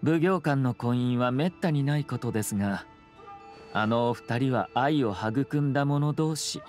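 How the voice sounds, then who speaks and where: A man plays a suave young lord in a video game. His is formal and courteous, at a middling male pitch, with a faintly wry composure. Music plays faintly behind him. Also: a little mellow, natural voice. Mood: courteous, composed. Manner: polite, measured, formal.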